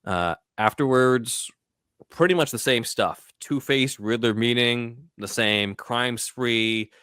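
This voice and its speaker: A man's voice: mid-range voice, slow, a bit bright, clear, resonant tone, dry recording, clean studio sound. A man hosting a podcast, talking casually through a film scene by scene. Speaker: podcast host.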